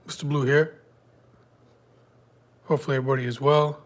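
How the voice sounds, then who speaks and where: A man's voice: a little high, flat delivery, fairly quick. A man with a stuffy nose from a cold, hosting a live trading stream in a loose, filler-heavy way and greeting viewers by name. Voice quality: slightly congested. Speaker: day trading livestream host. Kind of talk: casual live market prep walkthrough.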